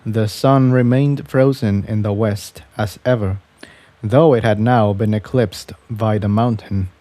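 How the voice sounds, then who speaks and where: A man narrating a fan fiction story in a measured, scripted reading style. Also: fairly low pitch, fairly even intonation, mellow, no echo.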